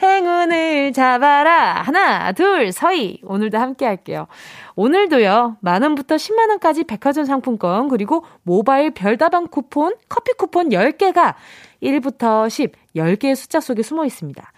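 A woman's voice: high voice, big pitch swings, normal speed, no echo, studio quality. A young woman from Busan hosting a radio show, chatting casually, laughing often and acting out listeners' messages. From Busan, South Korea. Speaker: radio DJ host. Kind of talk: casual radio chat reading listener texts. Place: radio studio. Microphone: studio microphone.

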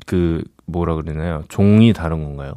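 A man with a Gyeongsang accent, a radio guest chatting casually, easygoing and modest and quick to laugh. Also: extremely low pitch, mellow, clean tone, no echo. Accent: Gyeongsang dialect.

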